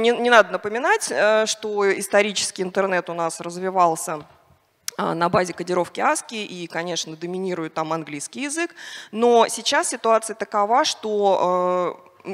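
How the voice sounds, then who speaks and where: A middle-aged woman speaking on a conference panel into a handheld mic, hesitant with many fillers and restarts. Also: mid-range voice. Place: large conference hall on stage. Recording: reverberant conference hall.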